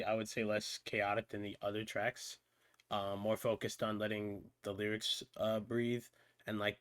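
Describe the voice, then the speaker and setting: A man in his thirties giving a casual music reaction, thinking aloud with many fillers and pauses, on a headset in a small room. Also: lowish voice, dry recording.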